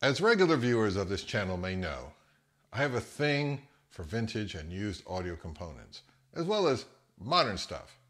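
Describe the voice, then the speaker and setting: A man's voice: on the low side, very animated, normal speed, a bit bright. A man in his fifties with an American accent, talking casually as the host of a vlog. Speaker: host of a hi-fi audio channel.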